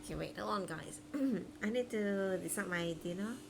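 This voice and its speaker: A woman's voice: mid-range voice, moderate pace, gravelly, background noise. A woman live streamer giving casual gameplay commentary, thinking aloud in a chatty way.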